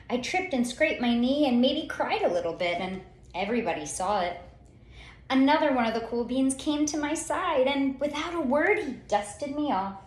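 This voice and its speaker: A woman reading a children's story aloud in an expressive, theatrical way, with dramatic pauses and drawn-out words. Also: high voice. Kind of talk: expressive picture-book storytelling. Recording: roomy, echoey sound.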